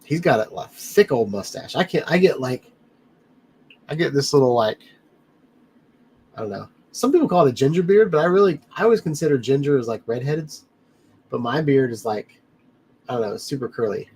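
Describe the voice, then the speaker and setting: A man hosts a relaxed live stream from his home studio, wandering from topic to topic and calling out viewers by name. His voice sits in an ordinary middle pitch and sounds a bit congested, as if his sinuses are blocked. A faint room ambience hangs in the background. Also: normal speed, long pauses, a little hiss or hum. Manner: rambling, friendly, addresses viewers by name. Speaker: livestream host reading chat. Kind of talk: casual live chat.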